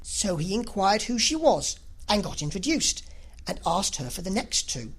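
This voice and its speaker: A woman voicing an excitable, gushing middle-aged mother in a novel reading, rambling on breathlessly and effusively. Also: mid-range voice, moderate pace, husky. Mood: excited, rambling. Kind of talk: classic novel audiobook character reading.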